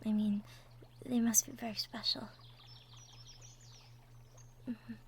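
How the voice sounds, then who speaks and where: A woman voicing a sweet, shy young cottage girl in love, gentle and stammering, in a girlfriend roleplay audio drama. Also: fairly high pitch, flat delivery, slow, slight background noise. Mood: gentle, concerned. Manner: stammering, gentle, breathy asides.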